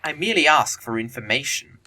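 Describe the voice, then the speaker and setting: Male actor as flippant young English dandy for Victorian comedy audio play: lively, swooping delivery, bright tone, lofty and arch. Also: mid-range voice, fairly quick, slight background noise. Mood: breezy, self-satisfied. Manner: arch, lofty, affected phrasing. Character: flippant young upper-class English bachelor, witty idle dandy.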